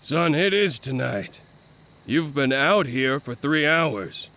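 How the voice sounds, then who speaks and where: An actor playing a frail, gentle old grandfather in a dramatized audiobook. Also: fairly high pitch, lively intonation, slow, noisy background. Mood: weary, concerned. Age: older.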